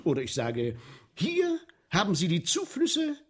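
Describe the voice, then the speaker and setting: An actor playing an eager, obliging guide to underground sewers in a German radio play, speaking in a declamatory, showy manner. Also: male voice, high voice, huge pitch swings, a little slow, husky, exaggerated voice. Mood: boastful.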